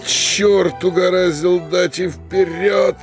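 An actor playing a blustering, outraged provincial town mayor in a theatrical classic comedy audio drama, exclaiming incredulously. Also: male voice, high voice, steady tone, slow, music in the background. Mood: crushed, despairing.

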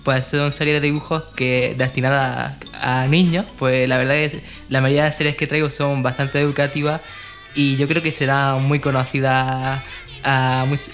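A man's voice: mid-range voice, level tone, moderate pace, a bit bright, faint music behind. A man with an accent from Spain co-hosting a radio show in a casual, conversational style. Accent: Spanish from Spain. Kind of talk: casual radio chat, some read-out information.

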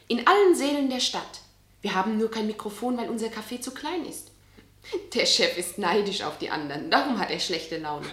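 A woman's voice: mid-range voice, expressive, fairly quick, a bit bright, close to an everyday voice. A young woman playing a friendly, forthcoming waitress in a German radio play. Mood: eager, confiding. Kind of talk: German radio drama acting. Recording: roomy radio play sound.

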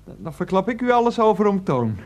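A woman's voice: mid-range voice, sing-song, quick pace, darkish tone, slight background noise, lightly acted. A woman in a radio play voicing a young nephew, stubborn and pleading, in a hesitant, wheedling way. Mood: sly, bargaining.

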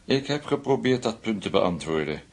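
An actor playing a shrewd German intelligence official in a radio drama, speaking formally and deliberately. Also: male voice, fairly low pitch, very animated, gravelly, heavily acted. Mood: measured, explanatory.

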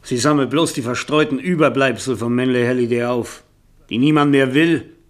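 An actor playing a tired, debt-ridden middle-aged novelist in a German radio drama, world-weary, pleading and self-deprecating. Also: male voice, medium pitch, fairly even intonation, moderate pace, lightly acted. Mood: agitated, exasperated. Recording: noisy vintage radio drama recording.

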